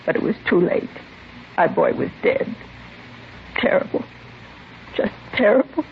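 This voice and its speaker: An American woman acting the part of a grieving, resigned mother in her late forties, subdued and halting, in a 1950s radio drama. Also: mid-range voice, very animated, creaky. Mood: shaken, grieving. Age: middle-aged. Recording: dull, noisy vintage radio recording.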